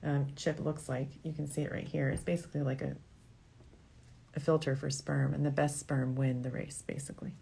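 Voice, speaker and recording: A woman's voice: very deep voice, little pitch movement, brisk, creaky, mellow, a little hiss or hum. A woman doctor hosting an informal question-and-answer show, speaking in a frank, conversational and reassuring way. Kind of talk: informal advice talk, answering viewer questions.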